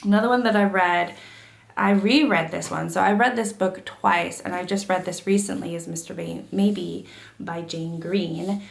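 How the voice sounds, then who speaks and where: A woman book vlogger getting over a cold, her throat gravelly, chatting casually to camera with lots of fillers and restarts. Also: on the low side, fairly even intonation, moderate pace, roomy sound. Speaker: book YouTuber.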